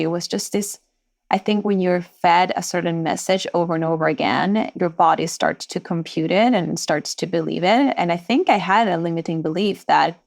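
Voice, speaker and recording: Guest, woman, thirties, from Sweden; low-ish voice, thoughtful storyteller. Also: vocal fry. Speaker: entrepreneur guest. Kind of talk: podcast interview.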